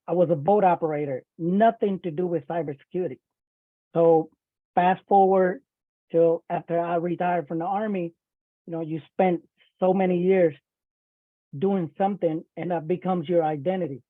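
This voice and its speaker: A man's voice: sits high, dry recording. A man, giving a casual webinar talk over a video call, often repeating and restarting his words. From Puerto Rico. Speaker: webinar speaker, cybersecurity professional. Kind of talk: casual presentation. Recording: thin phone-like online call audio.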